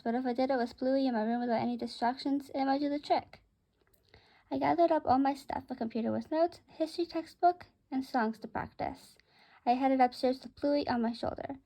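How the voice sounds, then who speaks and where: A woman with a high-pitched voice reads a first-person story aloud. She narrates it as written, occasionally tripping over a phrase and going back over it. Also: warm tone, poor audio. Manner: stumbles and rereads phrases. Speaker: author reading own story aloud.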